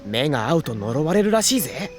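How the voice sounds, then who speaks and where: A casual, brash teenage schoolboy from a Japanese visual novel. He speaks quickly with a lively, swooping pitch over clear background music. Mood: gossipy.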